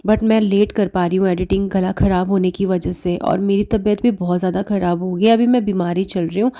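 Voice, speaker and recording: A woman's voice: medium pitch, steady tone, fairly quick, warm tone. A woman vlogger with a sore throat, narrating her family vlog in a chatty, homely voice-over. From Punjab.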